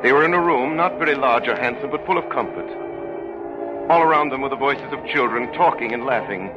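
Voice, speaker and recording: A man's voice: mid-range voice, a little fast, lightly acted. A man narrating as a grave literary storyteller in 1930s radio drama style. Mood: grave storytelling. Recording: muffled vintage radio recording, music behind.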